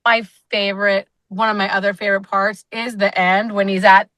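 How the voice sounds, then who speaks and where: A woman's voice: medium pitch, flat delivery, normal speed, fairly bright tone, dry recording. A woman co-hosting a podcast, retelling things animatedly in casual group chat. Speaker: podcast co-host reviewing a film.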